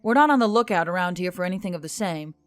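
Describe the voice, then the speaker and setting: A woman playing a female military officer giving a matter-of-fact, measured briefing in an audio story. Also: lowish voice, lively intonation, no room sound, soft background music, close to an everyday voice. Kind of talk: scripted audio story dialogue.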